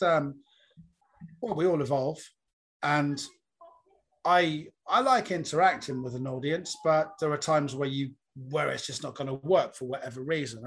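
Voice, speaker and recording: A man's voice: a little high, a bit bright, breathy edge. A man, a comedian being interviewed, talking in a reflective, rambling storytelling way. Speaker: comedian guest. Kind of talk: casual interview chat.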